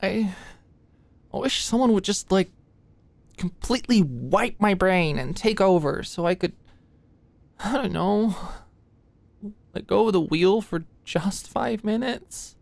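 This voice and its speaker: A woman's voice: medium pitch, lively intonation, slow pace, creaky, long pauses, a little mellow, dry recording. A woman playing an anxious, stressed-out young medical student in an intimate roleplay monologue, rambling and stammering as she goes. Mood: weary, frustrated. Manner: rambling, stammering, hesitant, swearing.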